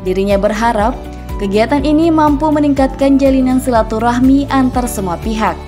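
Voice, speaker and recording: A woman narrating a scripted regional news report in an even, formal reading voice. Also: high-pitched, fairly quick. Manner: even, formal read delivery. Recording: voice-over with music bed.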